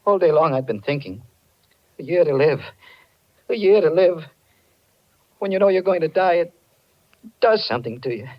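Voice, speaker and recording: A man's voice: on the high side, lively intonation, moderate pace, long pauses, close to an everyday voice. An actor playing an anxious, desperate married man with a guilty secret, in earnest, dramatic 1940s radio style. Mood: ashamed, desperate. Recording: dull, band-limited 1940s radio recording.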